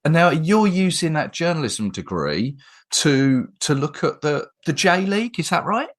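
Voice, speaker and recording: A man's voice: fairly high pitch, big pitch swings, moderate pace. A man from England hosting a football podcast, chatting with guests in a rambling, friendly way with frequent restarts. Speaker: podcast host. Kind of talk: casual podcast interview.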